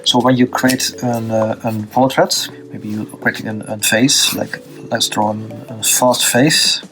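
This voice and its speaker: A man from Belgium with a Dutch accent, teaching a drawing lesson off the cuff, pausing often with fillers and restarts while he draws. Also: lowish voice, steady tone, music in the background. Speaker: art teacher. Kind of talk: unscripted drawing tutorial.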